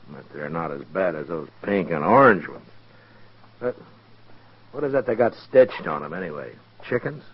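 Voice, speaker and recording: An actor playing a dry, stubborn frontier marshal in a 1950s radio western, with a laconic, folksy delivery. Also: male voice, sits low, lively intonation, moderate pace, breathy edge, no echo. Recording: muffled, dull 1950s radio recording.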